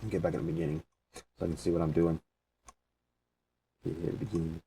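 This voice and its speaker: Guy doing a laid-back how-to, low mellow voice, bit far from the mic with faint noise. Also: flat delivery, quick pace. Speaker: tutorial presenter. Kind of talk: casual software walkthrough.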